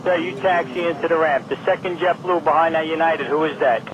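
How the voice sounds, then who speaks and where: A man's fairly high voice comes through a noisy radio channel, clipped and brisk. He directs pilots as a ground controller, sounding exasperated and cracking dry jokes between orders. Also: fairly even intonation, lo-fi sound. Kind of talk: air traffic control radio.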